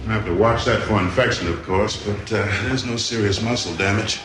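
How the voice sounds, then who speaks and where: An actor playing a blunt, probing prison doctor with hesitant phrasing in a television drama. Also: male voice, on the low side, fairly quick, music in the background, close to an everyday voice. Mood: matter-of-fact. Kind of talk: naturalistic television drama acting.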